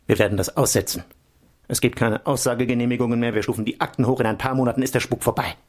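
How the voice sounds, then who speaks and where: A man's voice: medium pitch, steady tone, quick pace, a little mellow, close to an everyday voice. An actor playing an evasive, self-justifying male intelligence official in a political radio drama, conspiratorial in manner. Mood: smug, calm.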